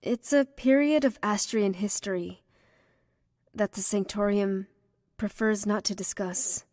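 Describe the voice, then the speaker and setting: A woman voicing a composed, learned priestess in a fantasy video game, speaking in a measured, formal and assertive way. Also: mid-range voice, darkish tone, no room sound, studio quality, lightly acted. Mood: calm, assured. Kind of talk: English video game cutscene acting.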